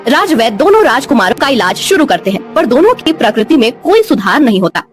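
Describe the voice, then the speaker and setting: A woman reads a scripted bedtime story. Her voice is high and very animated in its melody. Soft music plays behind her. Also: a little fast.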